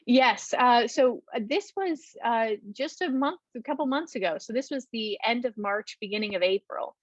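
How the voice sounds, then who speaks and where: A woman's voice: on the high side, normal speed, dry recording. A woman presenting a travel talk and answering audience questions over a video call, hesitant with many ums and restarts. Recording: dull lo-fi video call audio.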